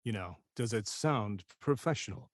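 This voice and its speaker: A man's voice: on the low side, expressive, normal speed, no room sound, clean studio sound. A man talking casually as a guest voice-over coach, candid and self-deprecating as he shares anecdotes. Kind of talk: casual conversational interview talk.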